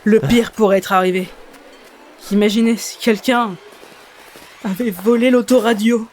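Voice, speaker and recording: A woman's voice: fairly high pitch, fairly even intonation, measured pace, darkish tone, a little hiss or hum, close to an everyday voice. A woman playing an eager, friendly travel companion in a comedic audio drama. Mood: concerned.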